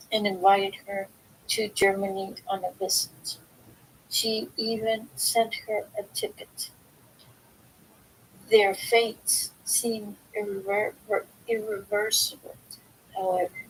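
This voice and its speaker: A woman reads a book aloud in an informal, halting way, going back over words she trips on. Her voice sits at a middling pitch with a noticeable creak. There is faint noise and a low rumble in the recording. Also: rough recording. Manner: halting, stumbles over words and corrects herself. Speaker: reader of a book aloud, video host. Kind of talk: informal read-aloud of a self-help philosophy book.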